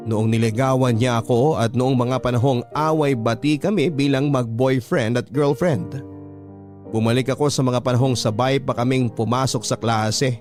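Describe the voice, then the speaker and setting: A Filipino man narrates a radio love story in a solemn, measured style. His voice is mid-pitched, with music clearly playing behind it. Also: darkish tone. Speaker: storyteller host reading a listener's letter. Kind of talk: dramatic scripted radio narration. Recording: music bed under the voice.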